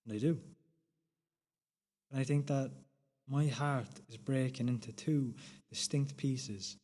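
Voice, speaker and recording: A shaken, guilt-ridden man played by a male actor delivers a radio play monologue. His voice is mid-pitched and sits in a long, hall-like reverb. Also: warm tone, lightly acted.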